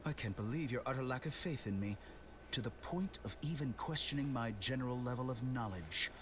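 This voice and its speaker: A man's voice: medium pitch, a little fast, background noise, close to an everyday voice. An actor playing an arrogant, smug male scholar villain in a video game, with a silky, condescending manner. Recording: game audio with loud music behind.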